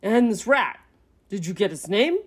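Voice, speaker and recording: A woman's voice: mid-range voice, expressive, normal speed, breathy edge. A woman voicing a retired old raccoon father in a story, giving easygoing, folksy advice with wry fondness. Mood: advising. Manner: easygoing, advising, colloquial.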